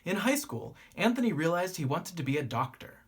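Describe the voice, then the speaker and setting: A teacher reading a children's picture book aloud in an animated way, with drawn-out emphasis and a slightly husky voice. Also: medium pitch, a little hiss or hum. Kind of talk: expressive children's storybook read-aloud.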